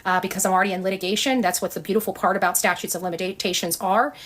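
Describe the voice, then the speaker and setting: Casting: woman online commentator, rapid delivery, blunt and defiant, off-the-cuff address to her audience. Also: medium pitch, steady tone. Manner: defiant, emphatic, blunt with some swearing. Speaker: video journalist and online channel creator. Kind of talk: unscripted commentary to camera for followers.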